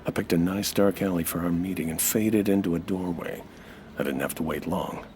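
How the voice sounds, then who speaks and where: An actor playing a suspicious, hard-boiled American nightclub owner who narrates in a wry, terse radio noir style. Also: male voice, low voice, steady tone, fairly quick, darkish tone, slight background noise, lightly acted. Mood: brooding, wry. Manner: wry, terse, tough-talking. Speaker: actor playing lead detective-style hero. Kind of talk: old-time radio noir monologue and dialogue.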